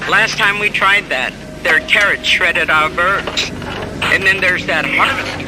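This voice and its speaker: High-pitched military radio officer. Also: male voice, measured pace, noisy background. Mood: surprised, regretful. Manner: clipped military radio talk. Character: harried resistance command officer on the radio. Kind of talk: video game cutscene acting.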